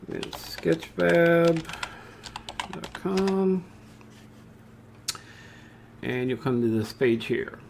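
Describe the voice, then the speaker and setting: Casual man with a creaky voice giving a tutorial. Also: fairly high pitch, animated, extremely slow, pauses often, darkish tone, a little hiss or hum. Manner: informal, thinking aloud while demonstrating. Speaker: software tutorial presenter. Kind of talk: casual step-by-step screen tutorial narration.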